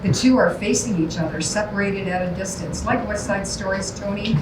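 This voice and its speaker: A woman's voice: mid-range voice, brisk. A woman reading scripted stage directions aloud as the narrator at a staged reading. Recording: echoey, noisy room, distant mic.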